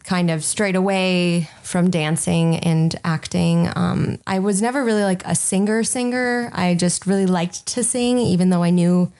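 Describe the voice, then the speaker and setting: A woman's voice: lowish voice, measured pace, few pauses, darkish tone. A woman in her twenties, a podcast guest, talking openly and chattily with plenty of ums. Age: 20s. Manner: open and chatty, many "um"s. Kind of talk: casual interview chat.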